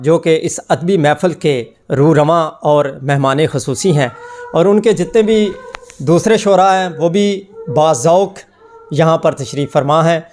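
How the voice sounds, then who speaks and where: A male host opens and guides a poetry gathering in a formal, polished register, his voice pitched slightly above the usual. Between the verses he calls out long, drawn-out cries of approval. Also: a little mellow. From Pakistan. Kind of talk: formal Urdu hosting with exclamations of praise.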